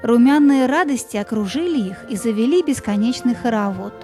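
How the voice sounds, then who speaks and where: A woman narrates a scripted fairy tale in a mellow, fairly high voice. The sound is dry and close, with faint music behind her. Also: expressive, a little fast.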